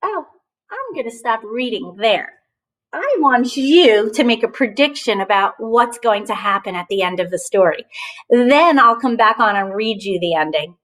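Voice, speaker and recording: A woman reading a children's story aloud as a teacher, with expressive emphasis and chatty asides to her young listeners. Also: on the high side, moderate pace.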